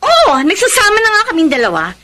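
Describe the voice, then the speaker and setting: A woman's voice: very high-pitched, wildly swooping pitch, brisk, pushed and bright, full character voice. A woman playing a young, brazen, unrepentant mistress in a Filipino radio drama.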